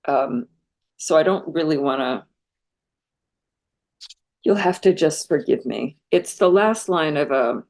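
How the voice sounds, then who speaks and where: Low-voiced earnest American woman. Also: lively intonation, darkish tone. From United States. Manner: earnest and enthusiastic, musing with many pauses. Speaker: guest scholar and translator. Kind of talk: reflective interview talk.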